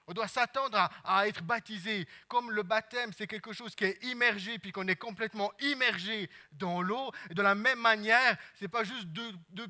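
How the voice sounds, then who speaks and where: Bright high-voiced Swiss French preacher. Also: male voice. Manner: preaching with many amens and hallelujahs, swinging between hushed and loud. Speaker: pastor. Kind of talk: sermon.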